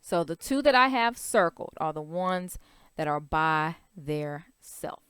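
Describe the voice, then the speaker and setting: A young woman teacher talks young children through a writing worksheet, slowly and patiently, one step at a time. Her voice sits a little low and sounds smooth and clear. The recording is dry and close, with no room sound. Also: expressive, a bit bright, dry recording.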